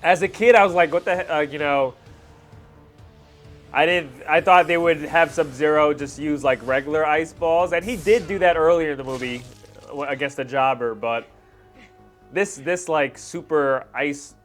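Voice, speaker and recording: A man's voice: on the high side, moderate pace, faint music behind. A man hosting a reaction video, giving casual, slangy fan commentary on fight scenes. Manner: informal slangy fan talk.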